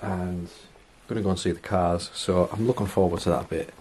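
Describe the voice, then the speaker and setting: Excited man exploring an old house. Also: deep voice, normal speed, a little hiss or hum. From England. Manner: excited, awestruck exclamations while walking around. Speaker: urban explorer vlogger. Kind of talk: casual exploring commentary. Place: abandoned house and garage. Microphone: handheld camera mic.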